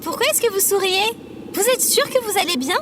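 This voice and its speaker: A small female robot companion with an extremely high voice. She is prim, formal and easily exasperated, swinging animatedly in pitch as audio drama dialogue. Clear noise sits behind her. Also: animated, fairly quick. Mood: concerned.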